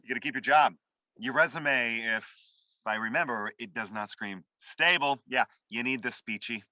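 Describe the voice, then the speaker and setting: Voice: mid-range voice, moderate pace, bright, forceful tone, no echo, lightly acted. An actor playing a drug-addled, incompetent, blustering boss, rambling and dismissive, at a screenplay table read. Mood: dismissive, hazy.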